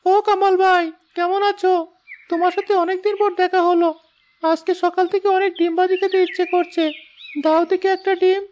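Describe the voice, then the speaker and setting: A woman's voice: very high voice, flat delivery, a little fast, a little mellow. A woman voicing an earnest, dutiful schoolboy from a poor family in a cartoon moral story.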